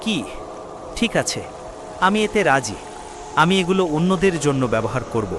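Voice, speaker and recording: A man voicing a simple, honest, excitable blacksmith in a children's cartoon, with expressive delivery. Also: a little high, normal speed, background noise. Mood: agreeing. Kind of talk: dubbed children's cartoon dialogue. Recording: music bed behind the voice.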